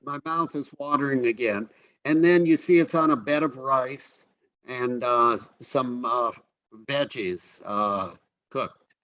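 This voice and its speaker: A man presenting food photos in a casual online class, relaxed and halting with many fillers. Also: mid-range voice, no echo, poor audio. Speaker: host presenting slides.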